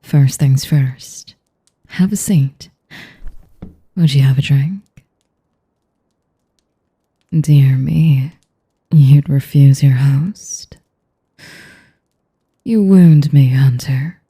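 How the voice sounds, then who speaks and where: Woman acting as a deep, breathy vampire seductress. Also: warm tone, no room sound, heavily acted. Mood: courtly, insistent. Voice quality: breathy, whispery, low. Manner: slow, formal, teasing, savoring each phrase. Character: sadistic seductive vampire noblewoman, elegant and manipulative. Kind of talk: intimate audio roleplay addressed to the listener.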